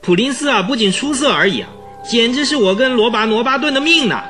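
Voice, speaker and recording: An excitable young horse trainer for a radio play. His voice is high and swings widely in pitch as he pleads and stammers. Music runs underneath. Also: fast, heavily acted. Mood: proud, excited. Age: young adult. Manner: agitated, exclaiming, stammering. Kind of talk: radio drama dialogue.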